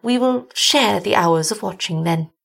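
A man's voice: high-pitched, a little slow, creaky, a bit bright, full character voice. A woman voicing a troubled, earnest younger male doctor in a gothic story, formal and nervously solicitous. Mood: gentle resolve.

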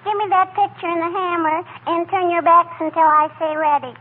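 A woman's voice: extremely high pitch, fairly even intonation, brisk. A woman voicing a cheeky, know-it-all little girl with childish, teasing talk in 1940s radio comedy style. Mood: smug, teasing. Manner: childish, teasing, repeats tag phrases. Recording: scratchy, narrow-band 1940s radio recording.

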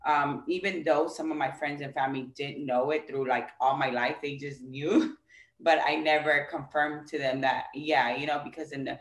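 A woman's voice: deep voice, steady tone, normal speed. A woman guest on an interview podcast, talking casually and animatedly, acting out what other people say and leaning on "like" and "you know". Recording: echoey room.

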